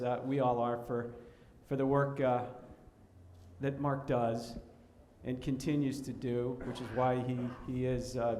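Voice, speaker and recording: A man from Massachusetts introducing an award winner at a reception, halting with many repeated words. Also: medium pitch, a little mellow, a little hiss or hum. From Massachusetts, USA. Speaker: presenter introducing an honoree. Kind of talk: speech at a reception. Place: banquet hall. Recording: reverberant hall.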